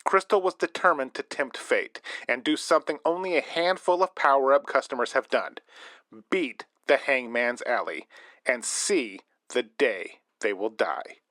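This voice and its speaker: A man's voice: medium pitch, a little slow, sharp tone, no echo. Man acting as a dry-sounding spooky-story radio host. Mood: storytelling. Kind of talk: scripted storytelling narration.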